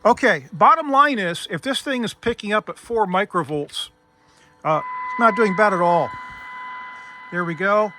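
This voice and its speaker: A man presents a casual hands-on demonstration as the host. His voice sits a little high and has a very bright, crisp edge. He explains each step methodically, in an informal way. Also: expressive, a little slow, long pauses, slight background noise. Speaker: host demonstrating vintage radio gear.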